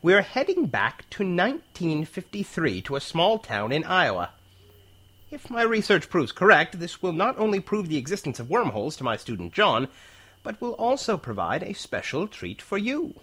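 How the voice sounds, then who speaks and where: An actor playing an enthusiastic, patient professor in an audio drama, explaining deliberately with pauses. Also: male voice, high-pitched, huge pitch swings, a bit bright, husky, heavily acted. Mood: eager. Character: enthusiastic male professor who discovered time-travel wormholes, patient explainer.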